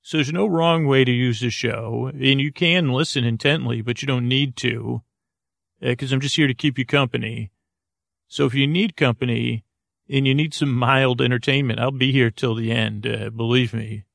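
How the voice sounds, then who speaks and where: Male podcast host, low-pitched-neutral creaky voice, close dry sound, meandering bedtime monologue with halting, unfinished thoughts. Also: fairly even intonation, fairly bright tone, no echo.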